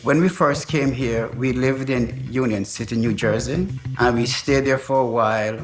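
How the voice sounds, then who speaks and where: A man's voice: medium pitch, fairly even intonation, normal speed. An older man, a father, speaking plainly in a documentary interview and quoting his own past words. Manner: plainspoken, quotes himself in dialogue. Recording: music bed under voice.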